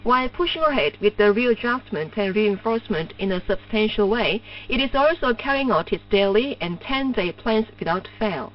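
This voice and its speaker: A woman with a Korean accent reading formal scripted news over shortwave radio in a measured, stiffly official manner. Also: medium pitch, expressive, recorded from a distance. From North Korea. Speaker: newsreader. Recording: muffled noisy shortwave radio with hum.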